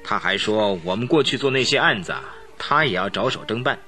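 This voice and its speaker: An actor playing a young count who leads a gang, in a radio drama, speaking in a cold, calculating, smug way. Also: male voice, fairly low pitch, animated, fairly quick, bright, forceful tone, faint music behind.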